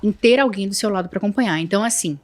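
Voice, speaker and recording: A woman's voice: mid-range voice, very fast. A woman with a Santa Catarina Brazilian accent, a business owner talking through her story in a casual interview, earnest and full of restarts. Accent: Santa Catarina Brazilian Portuguese. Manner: earnest, with many fillers and restarts. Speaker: guest entrepreneur.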